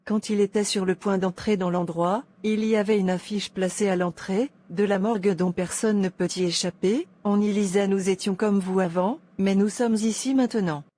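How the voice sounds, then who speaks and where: A woman's voice: medium pitch, steady tone, moderate pace, hardly any pauses, dark tone. A woman delivering scripted narration of a moral tale as the storyteller.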